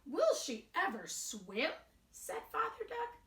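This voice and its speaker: Slow, echoey woman reading a children's story. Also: very high voice, huge pitch swings, fairly bright tone. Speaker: picture book reader. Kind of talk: read-aloud children's storytelling. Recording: roomy, echoing sound.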